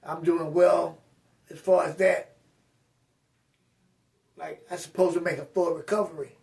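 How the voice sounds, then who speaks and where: A man's voice: fairly high pitch, level tone, unhurried, vocal fry, darkish tone, distant mic. A man vlogging a casual personal update to his viewers, with slurred speech and a rambling, straight-talking way of speaking full of fillers and restarts.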